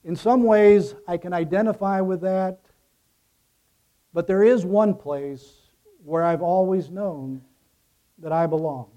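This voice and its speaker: A male pastor delivers a sermon in a slightly high, mellow voice. He takes his time, setting out short emphatic phrases and letting their endings drop away softly. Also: slow, long pauses. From Ecuador, raised by American missionary parents. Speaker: pastor preaching to his congregation.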